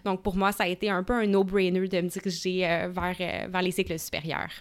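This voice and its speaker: A woman's voice: on the low side, level tone, brisk, smooth. A woman in her twenties from Gatineau with a Québécois French accent, a nutrition researcher telling her story as an interview guest, eager and full of fillers and restarts. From Gatineau, Quebec, Canada. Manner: enthusiastic, many fillers and restarts. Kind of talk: casual interview talk.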